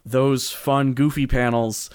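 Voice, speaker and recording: A man hosting a podcast in casual chat, loose and joking, with halting phrasing. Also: mid-range voice, level tone, darkish tone, clean tone, no room sound, studio quality. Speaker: podcast host.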